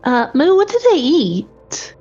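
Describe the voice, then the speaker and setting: Female tabletop player, high pitch, very slow pace, casual chat. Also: sing-song, a little mellow, dull audio, soft background music.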